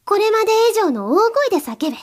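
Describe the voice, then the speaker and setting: A woman's voice: extremely high pitch, sing-song, brisk. A woman playing a cold, domineering mistress in an erotic audio drama, giving curt, contemptuous orders to the listener. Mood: commanding.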